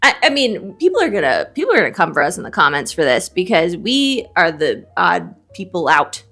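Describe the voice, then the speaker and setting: A woman's voice: fairly low pitch, sing-song, brisk, creaky, sharp tone. A woman co-hosting a casual book chat, candid and playfully dramatic in informal slang. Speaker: co-host of a book discussion video. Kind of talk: casual chat, trading confessed book opinions.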